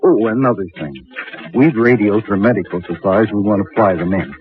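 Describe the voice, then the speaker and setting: A man's voice: fairly low pitch, a little fast, natural voice. Actor as harried man on tinny radio. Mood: harried, urgent. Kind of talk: radio drama acting. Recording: tinny, noisy old radio drama recording.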